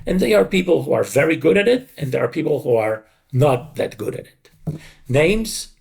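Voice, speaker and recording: A man's voice: a little high, sing-song, moderate pace, gravelly, darkish tone. A middle-aged man giving conversational interview answers in an emphatic, explanatory manner, often repeating short phrases. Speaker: interview guest, dubbing creative director.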